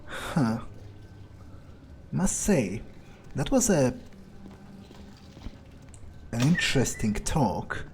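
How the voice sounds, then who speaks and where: A man's voice: medium pitch, very animated, very slow, long pauses, darkish tone, slight background noise, full character voice. A man role-playing a cynical, arrogant vampire in a game playthrough, musing aloud in a casual, hesitant way. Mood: musing, polite. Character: cynical, arrogant male vampire thinking aloud, coolly skeptical. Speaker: let's player role-playing in character. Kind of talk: in-character game playthrough commentary.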